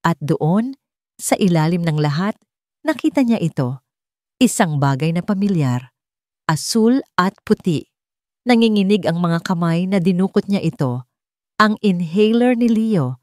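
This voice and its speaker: Female narrator for dramatic audio story: slightly low pitch, very expressive intonation. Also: unhurried, pauses often, dry recording, studio quality.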